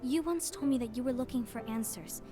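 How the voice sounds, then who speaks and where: A woman playing a gentle, earnest young leader in a video game cutscene, thoughtful and soft-hearted. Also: high-pitched, brisk, over music, lightly acted. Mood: pensive.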